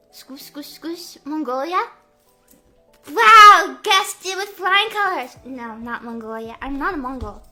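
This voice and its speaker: Bright, bubbly young woman streamer. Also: very high-pitched. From US Midwest. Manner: bubbly, playful, heavy "like" filler, drawn-out vowels. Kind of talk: casual live-stream chat reading comments.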